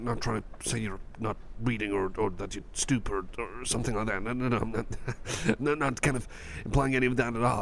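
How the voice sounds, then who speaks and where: A man playing a shy, kind, anxious cyborg friend in an ASMR roleplay, speaking softly and breathily with hesitant, reassuring stammers, close to the microphone. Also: mid-range voice, a little hiss or hum. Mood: flustered, eager. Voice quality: soft, breathy, often whispering. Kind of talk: ASMR roleplay, personal attention.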